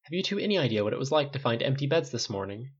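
A man voicing a calm, gentle but firm male caretaker with a quiet, frank manner in an audiobook story. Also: medium pitch, very fast, husky, lo-fi sound, voice pushed up. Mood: worried reproach. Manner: quiet, soft, frank, uncompromising.

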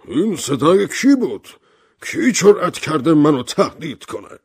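A man puts on an old general for an audio story. He is indignant and shaken, blustering emphatically in a voice pushed well up in pitch. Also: big pitch swings, slightly rough, dry recording, clean studio sound, full character voice. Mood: outraged.

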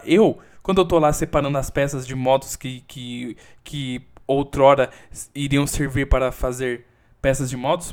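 A young Brazilian man talks through a casual solo podcast from home, his voice sitting a bit on the high side. He swears freely and tells the story with animated bursts that jump up in pitch and volume. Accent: Brazilian Portuguese. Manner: swears a lot, animated storytelling with sudden loud outbursts. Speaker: podcast host.

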